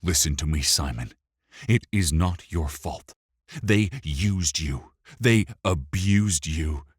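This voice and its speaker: A man's voice: a bass voice, slow, breathy edge, dry recording, studio quality, full character voice. A man voicing a desperate, protective warrior in an audiobook, speaking with urgent, earnest delivery. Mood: firm reassurance. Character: desperate, protective male warrior, fiercely loyal. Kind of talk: dramatic audiobook character acting.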